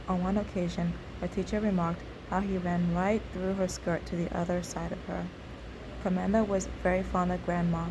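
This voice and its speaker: A woman reading her own memoir aloud, steady and unhurried, now and then correcting herself. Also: lowish voice, noisy background. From Nigeria. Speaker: memoir narrator.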